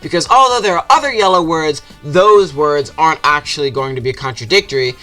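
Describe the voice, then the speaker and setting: A man's voice: fairly high pitch, fairly quick, pushed and bright, low-quality recording. A man narrating a comedic scripted video game review with a sarcastic, exaggerated delivery. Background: music behind the voice.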